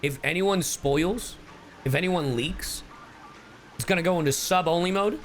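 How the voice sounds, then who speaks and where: A man's voice: sits high, unhurried, soft background music. A man streaming casual live reactions to a game, joking and slangy. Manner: joking, slangy, breaks into humming and catchphrases.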